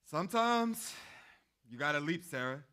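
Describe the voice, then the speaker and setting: A man's voice: on the high side, animated, unhurried, sharp tone, clean tone. A man playing an earnest, persuasive young man in a staged play reading, colloquial and pleading. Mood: earnest, urgent. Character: earnest, persuasive young man urging his sweetheart onward.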